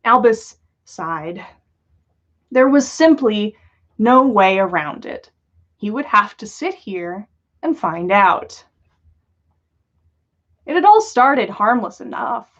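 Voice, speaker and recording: Expressive woman storyteller with lively, swooping pitch. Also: slow pace, pauses often, muffled recording. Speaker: podcast host reading listener-written fan fiction. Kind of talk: animated storytelling read aloud on a livestream.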